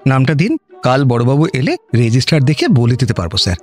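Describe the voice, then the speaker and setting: A man's voice: medium pitch, huge pitch swings, fairly quick, soft background music. An actor playing a fussy, deferential male government officer in a comic radio drama.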